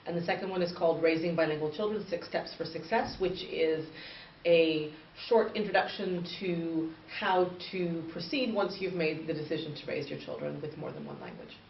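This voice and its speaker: Woman, 30s, from northern Canada, measured explanatory manner, echoey muffled recording. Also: low-pitched, lively intonation. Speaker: guest, bilingual education expert. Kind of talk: interview answers. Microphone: far from the mic. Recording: distant, echoey, muffled with faint noise.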